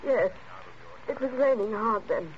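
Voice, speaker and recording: Distraught, faltering daughter, noisy old radio. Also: female voice, on the high side, expressive, unhurried, highs cut off. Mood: hesitant, shaken. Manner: hesitant, faltering, timid. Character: fragile, distraught grown daughter confessing to her father. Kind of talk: 1940s radio acting.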